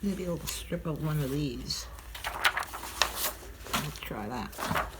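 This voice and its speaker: An older woman hosting a craft tutorial, chatting and thinking aloud as she works, sounding a little stuffy and hoarse from a cold. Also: low voice, animated, slow. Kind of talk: relaxed craft demonstration. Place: craft table at home, indoors. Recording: audible crinkling craft noise.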